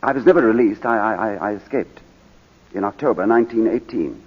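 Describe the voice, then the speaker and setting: An actor playing a middle-aged British baronet and war veteran on the witness stand, hesitant and clipped, in 1940s radio drama style. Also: male voice, on the low side, expressive, a little fast, slightly rough. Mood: hesitant, reserved. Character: proud English baronet, war-wounded veteran testifying in court. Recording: muffled vintage 1940s radio broadcast.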